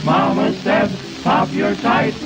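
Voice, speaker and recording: A man's voice: takes it slowly, poor audio. A man singing a comic 1920s novelty number in a singsong, storytelling way. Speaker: dance band vocalist. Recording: old 1920s radio recording with music.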